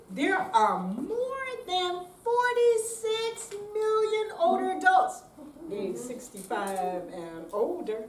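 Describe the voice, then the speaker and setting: An older woman giving a slow, deliberate, theatrical dramatic reading on stage. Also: high-pitched, a little mellow. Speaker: amateur readers theater performer. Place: senior center room, live reading. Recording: echoey room, distant mic, clear noise.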